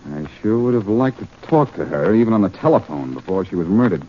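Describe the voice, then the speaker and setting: An actor playing a cocky, wisecracking amateur detective in a 1940s radio drama, breezy and sardonic. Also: male voice, fairly low pitch, animated, quick pace, close to an everyday voice. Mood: cool, needling. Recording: dull, noisy 1940s radio recording.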